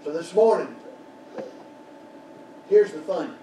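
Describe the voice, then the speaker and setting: An older man preaching a sermon in a small church, building to shouted peaks and dropping to quiet prayer. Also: a little high, lively intonation, takes it slowly. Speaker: pastor. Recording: roomy church echo from far off, background noise.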